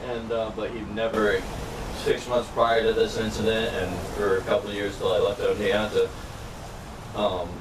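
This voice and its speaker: A man's voice: on the low side, normal speed. A man from upstate New York telling a long, rambling personal story in casual chat. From Norwich, New York. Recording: distant mic with steady background noise.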